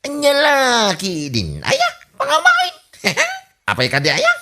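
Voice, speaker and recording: A performer playing a cheeky, excitable young relative who taunts an uncle, giggly and exaggerated, in an Ilocano radio comedy drama with an Ilocano Filipino accent. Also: sits high, slow pace, creaky, heavily acted. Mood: teasing.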